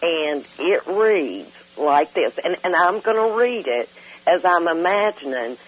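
An older woman with a hoarse voice, chatting casually and excitably as a caller on a radio talk show. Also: fairly low pitch, measured pace, low-quality recording. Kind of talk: casual, jokey chat.

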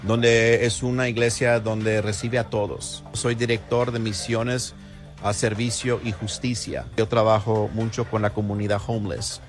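A man's voice: lowish voice, little pitch movement, measured pace, soft background music. A man from Honduras, a pastor, speaking firmly. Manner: firm, preaching tone. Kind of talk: clip of a sermon-like statement.

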